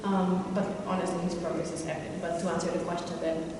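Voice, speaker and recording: A woman's voice: fairly low pitch, flat delivery, quick pace. A woman teacher answering a parent at a school workshop in a reassuring, friendly way. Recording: echoey hall from far off, noisy.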